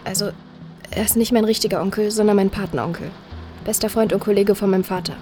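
A woman's voice: medium pitch, fairly even intonation, fast, warm tone, soft background music, close to an everyday voice. A young woman playing a candid, shaken teenage daughter in an audio drama, speaking informally. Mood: candid, chatty. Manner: informal, youthful. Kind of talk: audio drama acting, podcast chat.

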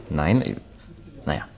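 An actor playing a modest, eager young footballer in a drama, speaking earnestly and a bit haltingly. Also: male voice, dark tone. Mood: guarded. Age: young adult.

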